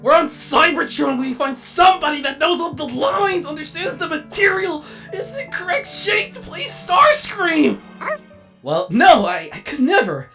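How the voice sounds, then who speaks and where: A man's voice: very high voice, unhurried, gravelly, faint music behind, heavily acted. Man playing a theatrical, self-important robot director. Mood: exasperated, excitable. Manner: theatrical, grandiose, excitable. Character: vain, melodramatic robot actor and amateur movie director. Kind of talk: comedic animated comic dub acting.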